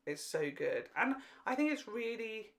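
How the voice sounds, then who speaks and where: Animated, jokey young man, casual co-host. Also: high-pitched, measured pace. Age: late 20s. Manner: animated, jokey, tangent-prone, sweary. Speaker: podcast co-host. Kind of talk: casual fan podcast chat.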